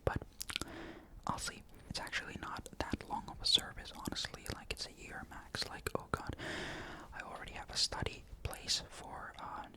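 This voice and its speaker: Young guy doing asmr, all soft whisper, rambly with lots of um and like. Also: moderate pace. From Finland. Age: young adult. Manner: soft unvoiced whisper throughout; rambling, many fillers and restarts. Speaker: ASMR creator. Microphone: desktop condenser mic.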